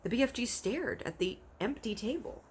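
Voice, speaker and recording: A woman narrating a children's story aloud in an expressive read-aloud style. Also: a little high, moderate pace, a little hiss or hum. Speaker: storybook reader.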